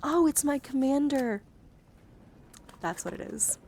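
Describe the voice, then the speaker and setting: A woman livestreamer chatting casually and informally, excitable and prone to swearing, as she talks viewers through her hobby. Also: sits high, unhurried, vocal fry, a little hiss or hum. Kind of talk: casual livestream chat while sorting trading cards.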